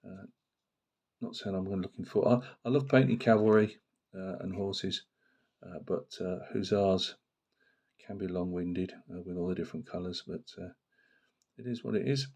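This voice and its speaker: A man with a very low voice, hoarse from a cold. He talks about his hobby in a loose, rambling way, full of fillers and restarts. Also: moderate pace, darkish tone. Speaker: miniature painting channel host. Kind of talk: casual hobby talk to camera.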